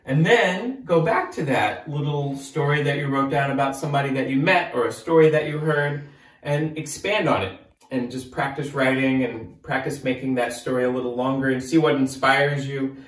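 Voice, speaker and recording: A man in his thirties, a children's author chatting to kids in an animated storytelling style. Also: mid-range voice, normal speed, echoey room, poor audio.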